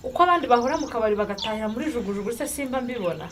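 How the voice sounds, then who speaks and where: A woman's voice: fairly high pitch, expressive, rapid-fire, close to an everyday voice. A woman playing a teasing village wife in a conversational radio drama. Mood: contented. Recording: birdsong and background noise.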